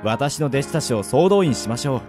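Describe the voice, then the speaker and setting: A man playing an easygoing middle-aged swordsman mentor in a radio drama, moving between polite formality and gruff casual talk. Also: medium pitch, lively intonation, brisk, darkish tone, faint music behind, close to an everyday voice. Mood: composed, courteous. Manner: switches between smooth polite formality and rough casual drawl. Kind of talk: anime-style radio drama acting.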